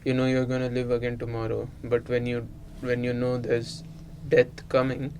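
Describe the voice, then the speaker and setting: A man's voice: medium pitch, monotone, moderate pace, a little mellow, a little hiss or hum. A man thinking aloud in an unscripted, reflective group conversation, with a thoughtful, musing manner. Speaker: discussion participant.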